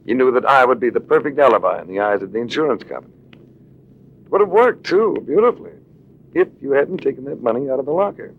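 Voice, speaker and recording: An actor playing a shrewd, self-assured adventurer-investigator with a dry, needling manner in a late-1940s radio drama. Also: male voice, mid-range voice, animated, fast talker, phone-quality sound, natural voice. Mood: cool accusing. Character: shrewd, sardonic male adventurer-investigator accusing suspects. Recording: noisy vintage radio recording.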